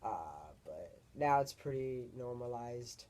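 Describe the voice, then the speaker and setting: A young man with a deep voice, talking casually to camera in a rambling, hesitant way as he searches for words. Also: lively intonation, takes it slowly, darkish tone. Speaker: vlogger. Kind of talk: casual personal vlog monologue.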